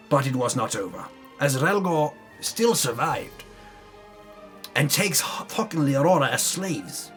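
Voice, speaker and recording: A man giving a dramatic, mock-epic narration of a fantasy campaign recap, grandiose in delivery with dry, tongue-in-cheek asides. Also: medium pitch. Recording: music under the voice. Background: background music.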